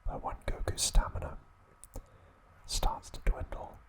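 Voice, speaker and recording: A man hosting ASMR, narrating trivia in a hushed, deliberate way with short broken phrases, close to the microphone. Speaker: ASMR video host.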